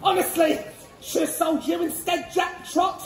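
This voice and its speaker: A very high-pitched, brash British pantomime dame , acted by a man, playing a hard-up comic mum. The delivery is exclamatory and full of cheeky banter thrown at the audience. It echoes through a theatre with faint music behind. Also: fairly even intonation, measured pace. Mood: exasperated. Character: brash, hard-up comic mother in a garish frock. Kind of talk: live stage pantomime comedy.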